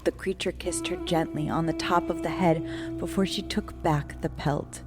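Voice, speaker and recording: A woman with a low voice narrates a dark folk tale. Her reading is measured, solemn and dramatic, like a scripted story. Music runs clearly behind her. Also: fairly even intonation. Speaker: storyteller, guest writer reading own story.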